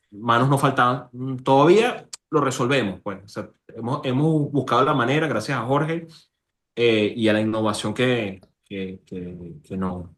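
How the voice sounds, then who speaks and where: A man's voice: mid-range voice, fairly bright tone. A man with a Venezuelan accent chatting casually in a group, somewhat hesitant as he looks for words. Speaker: fan club member.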